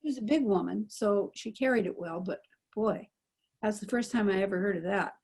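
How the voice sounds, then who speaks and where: Older lady chatting about old times, husky raspy voice, medium pitch. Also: fairly quick, darkish tone. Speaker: panel guest, former radio actress. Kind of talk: casual reminiscing. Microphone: video call.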